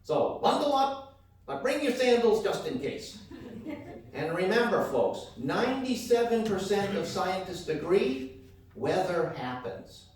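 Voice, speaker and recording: Man acting a scatterbrained weather expert, folksy, bumbling, comic radio sketch, distant mic, animated pitch swings. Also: a little slow. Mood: folksy, muddled. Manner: bumbling, hesitant, self-correcting. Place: live on stage in a hall. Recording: echoey hall stage, distant mic.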